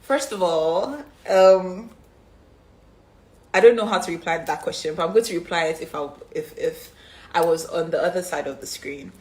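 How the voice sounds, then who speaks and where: Young Nigerian woman in her twenties on a phone live stream, low-pitched, chatty and unhurried, reading questions then rambling through answers. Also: a little mellow. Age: 20s. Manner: reads fan questions aloud then answers chattily with many ums. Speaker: reality show contestant answering fan questions.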